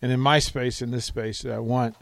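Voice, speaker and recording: A man's voice: mid-range voice, fairly even intonation, normal speed, gravelly, fairly bright tone, dry recording. A man hosting a radio show in casual chat, often repeating phrases for emphasis. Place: radio studio.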